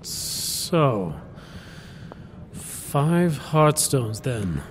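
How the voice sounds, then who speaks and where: A man's voice: medium pitch, big pitch swings, very slow, a little hiss or hum. A man playing a thoughtful, musing strategist at a fantasy council in an audio drama.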